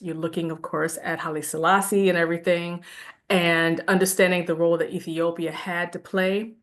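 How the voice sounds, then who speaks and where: Woman lecturer, slightly low voice, casual and animated class talk. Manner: conversational, animated, laughs now and then.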